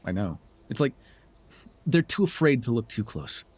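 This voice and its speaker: A man's voice: mid-range voice, sing-song, normal speed, darkish tone, slight background noise, natural voice. A man playing a smug, teasing telepath supervillain who mentors a hero, in a wry, theatrical yet conversational ASMR roleplay. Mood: earnest, exasperated.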